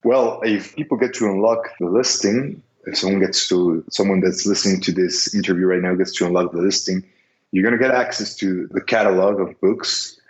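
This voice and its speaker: Casting note: young Mexican man, Spanish accent, low voice, careful non-native English, roomy muffled audio. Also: steady tone, normal speed. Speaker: guest, business seller. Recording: echoey, dull lo-fi line.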